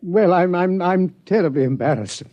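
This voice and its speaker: An actor playing a polite, flustered scientist in charge of an observatory, hesitant and stammering, in a radio comedy. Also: male voice, high voice, lively intonation, moderate pace, no room sound. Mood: flustered, apologetic. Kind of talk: mid-century radio comedy acting. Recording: dull, hissy old radio recording.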